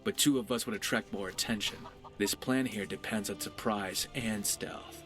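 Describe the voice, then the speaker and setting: A low-pitched, sardonic pirate man, acted by a male voice actor, delivers fantasy game dialogue with dry wit. He is a loyal adventuring companion, and music plays beneath his voice. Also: steady tone, moderate pace, natural voice. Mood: wry, concerned.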